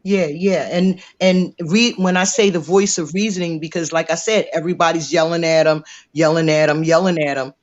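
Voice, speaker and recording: A man's voice: fairly high pitch, fairly even intonation, normal speed, hardly any pauses. A middle-aged man in recovery talking bluntly in a casual debate, often repeating himself. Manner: blunt, repetitive, talks over others. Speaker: recovering alcoholic. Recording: dull lo-fi audio.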